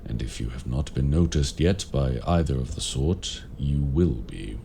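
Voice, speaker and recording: A man playing a courteous, grave warlock mentor in raven form, speaking formally and deliberately in an audio roleplay. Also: a bass voice, animated, creaky, warm tone, slight background noise, full character voice. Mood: solemn, apologetic. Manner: formal, measured, deliberate phrasing. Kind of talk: audio roleplay addressed to the listener.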